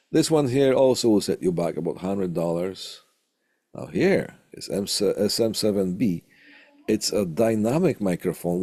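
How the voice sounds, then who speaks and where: A man with a gravelly, husky voice giving an informal recorded lecture as an instructor, halting and hesitant with long pauses, close on a studio condenser mic. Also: sits low, a little mellow, dry recording. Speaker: college instructor teaching audio equipment. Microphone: close studio condenser microphone through tube preamp.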